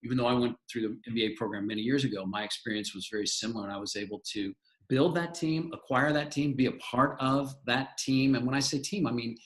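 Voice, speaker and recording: A middle-aged man leads a live panel discussion. He speaks enthusiastically, often doubling words as he builds a question. His pitch is ordinary, in the middle range. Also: quick pace, rough recording. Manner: enthusiastic, repeats words while searching. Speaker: panel moderator, alumnus.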